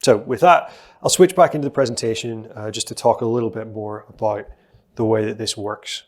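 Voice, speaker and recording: A middle-aged man on a conference stage, explaining a live software demo in a steady, explanatory way with frequent fillers. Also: lowish voice, brisk. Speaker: presenter giving a software demo.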